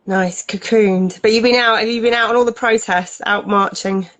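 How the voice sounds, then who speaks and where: Slightly croaky woman hosting a live stream. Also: a little high, steady tone, moderate pace, a bit bright, dry recording. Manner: friendly, laughs often, um-filled. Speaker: host. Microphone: phone or computer mic on a live stream.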